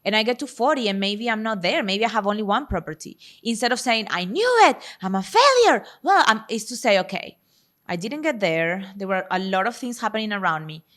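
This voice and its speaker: A woman's voice: mid-range voice, expressive, brisk, a bit bright. Encouraging woman in her thirties. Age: 30s. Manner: encouraging, coaching tone, plain-spoken. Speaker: podcast host and self-worth coach. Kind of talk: casual chat.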